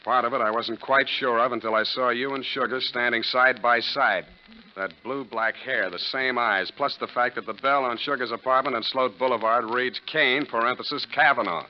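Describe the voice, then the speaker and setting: A man's voice: medium pitch, steady tone, moderate pace, close to an everyday voice. On a muffled, hissy old radio recording, a male actor plays a world-weary American detective. He talks in terse, wry, hard-boiled lines in the manner of 1940s radio crime drama. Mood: hard, accusing. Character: cynical, tough private detective, world-weary and sardonic.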